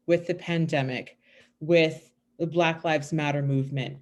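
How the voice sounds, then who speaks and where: A woman's voice: low voice, unhurried. A woman from Canada, a guest on a podcast, talking casually and emphatically, telling stories with bursts of laughter. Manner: emphatic, animated storytelling with laughs. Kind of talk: casual conversation.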